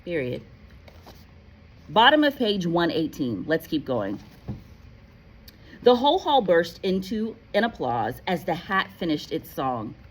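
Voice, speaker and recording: Lively lower-pitched woman reading aloud. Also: a little slow, long pauses. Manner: animated, playful. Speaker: storybook reader, teacher-like. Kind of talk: expressive read-aloud narration with casual asides.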